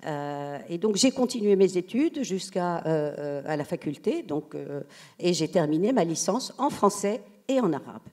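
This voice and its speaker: Low, dark-voiced hesitant woman. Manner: soft-spoken, hesitant, searching for words. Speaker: writer on a panel. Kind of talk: personal storytelling in panel talk. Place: theatre stage at a public literary event.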